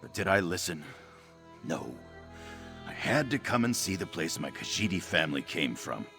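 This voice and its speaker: A man's voice: low-pitched, very animated, moderate pace, vocal fry, music in the background, exaggerated voice. A man voicing an anxious, self-doubting young cat-man trader in a fantasy video game, fretful and hesitant. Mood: despondent, fretful.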